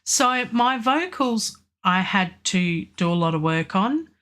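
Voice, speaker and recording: Aussie woman rambling on a live stream about her track, lots of ums, voice a bit rough from a cough. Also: mid-range voice, lively intonation, slow, fairly bright tone. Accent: Australian. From Australia. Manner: chatty, rambling, many ums and restarts. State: recovering from a virus, lingering cough and weak voice. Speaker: music producer streaming live.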